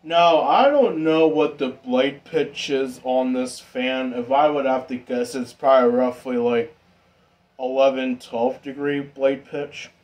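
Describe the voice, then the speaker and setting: A man giving a casual, informal hobbyist demonstration in an unhurried, rambling way. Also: mid-range voice, a little mellow, dull audio. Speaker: ceiling fan hobbyist vlogger. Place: indoor room with exposed pipes and ductwork.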